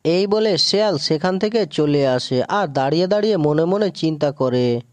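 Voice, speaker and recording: A male narrator reads a scripted fable in a mellow voice pitched a little high. The sound is close and dry, with no room to it. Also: expressive, normal speed, no echo.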